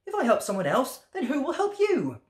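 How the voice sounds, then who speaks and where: A little storybook frog, acted out by a woman, pitched very high and plaintive. It exclaims its words with swooping melody, in a roomy, echoing space. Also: wildly swooping pitch, brisk, darkish tone. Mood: grateful, puzzled. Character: stuck sad frog, panicky then grateful, storybook animal.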